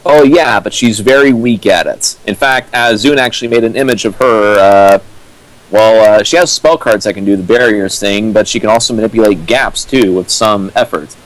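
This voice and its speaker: A man's voice: fairly low pitch, moderate pace, no room sound, low-quality recording. A young man chiming in with dry comments and fan lore during a comedic reading. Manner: dry, explains lore with fillers. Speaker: co-host riffing on a fan fiction.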